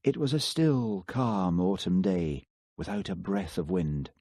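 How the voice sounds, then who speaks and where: Deep-voiced male audiobook narrator. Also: sing-song, measured pace, dark tone, dry recording, clean studio sound. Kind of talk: scripted narration.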